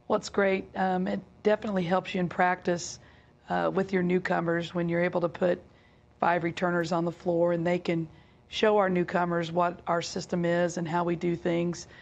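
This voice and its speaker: A middle-aged woman with a raspy, hoarse voice speaks in a low, flat tone full of gravelly fry. She answers questions haltingly, with many fillers. The sound is dull and lo-fi, like a video call. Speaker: head basketball coach. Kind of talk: remote press interview. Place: office with team logo backdrop.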